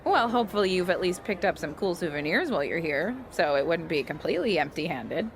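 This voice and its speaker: Animated female wrestling interviewer. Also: fairly low pitch, fairly quick, slight background noise. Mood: inquisitive. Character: inquisitive, lightly joking female wrestling interviewer. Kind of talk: broadcast interview in a video game.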